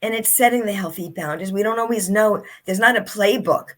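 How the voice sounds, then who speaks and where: A woman's voice: medium pitch, brisk, fairly bright tone. A middle-aged woman, an author and speaker, telling her story as an interview guest in an earnest, reflective way.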